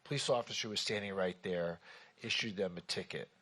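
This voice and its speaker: A man's voice: sits low, a little fast, a bit bright, breathy edge. A man chairing a city commission meeting, speaking informally and reassuringly to members of the public.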